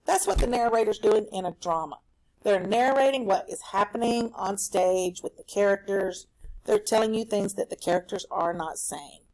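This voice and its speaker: A woman teaching a recorded reading lesson for children at home, explaining a story in an animated, explanatory way. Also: mid-range voice, low-quality recording.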